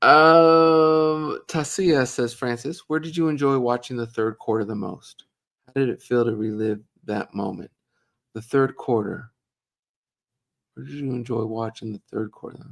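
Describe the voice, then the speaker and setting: A middle-aged man co-hosting a casual live Q&A, chatting easily and reading viewer comments aloud with a joking, relaxed manner. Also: mid-range voice, a little slow, vocal fry. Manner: chatty, jokey, reads viewer questions aloud.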